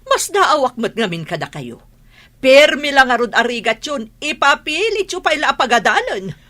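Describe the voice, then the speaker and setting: Haughty, scolding village woman, acted, Filipino accent, comedy radio play, highly animated delivery. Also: moderate pace. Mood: belittling. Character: vain, scolding, sharp-tongued village woman. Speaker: radio drama actor. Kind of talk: Ilocano comedy radio drama acting.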